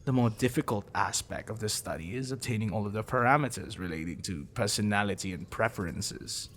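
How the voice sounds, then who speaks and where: A man playing a possessive, smitten professor in an intimate ASMR roleplay, wordy and clinical, with teasing, musing pauses. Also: on the low side, fairly bright tone, slight background noise. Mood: lecturing, smitten.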